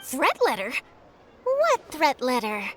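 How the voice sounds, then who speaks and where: A chirpy young journalist in a video game, pitched very high. The delivery is animated, brisk and persuasive, with confiding theatrical turns and music behind. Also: female voice, exaggerated voice. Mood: puzzled. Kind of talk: video game character acting.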